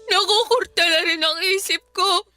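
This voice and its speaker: A woman's voice: extremely high pitch, moderate pace, bright, forceful tone, faint music behind. An actress playing a drunk, heartbroken young woman in a Filipino radio drama, with a tipsy, whiny drawl and a Filipino accent. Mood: hurt, pleading.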